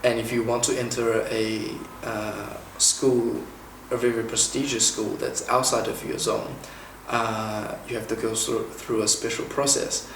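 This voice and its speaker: A man in his twenties from China talks through a casual question-and-answer session, measured and pausing often with "uh." He is recorded from a little way off in a noticeably echoey room. A faint background hiss sits under his voice. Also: medium pitch, level tone, fairly bright tone, far from the mic. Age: 20s. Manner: measured, with frequent "uh" pauses. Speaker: interviewer and former international student. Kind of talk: informal Q&A, explaining and asking questions.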